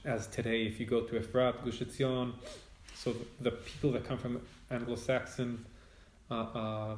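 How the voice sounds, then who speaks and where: Halting Israeli man in a roomy space. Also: mid-range voice, warm tone. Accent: Israeli, speaking English. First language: Hebrew. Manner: halting, searching for words, many restarts. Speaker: spiritual teacher and author. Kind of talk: reflective personal conversation.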